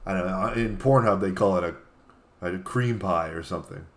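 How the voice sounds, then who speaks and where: Low-voiced man co-hosting a comedy chat. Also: normal speed, a little hiss or hum. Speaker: talk show co-host. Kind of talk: casual comedic chat, reading out tweets.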